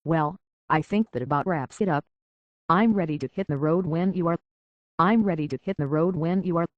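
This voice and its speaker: A man's voice: on the high side, moderate pace, dry recording, close to an everyday voice. A man playing a world-weary, decent android detective in a video game, speaking in a wry, plainspoken, reflective way on slightly dull audio. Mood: wry, easygoing. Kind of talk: video game character dialogue.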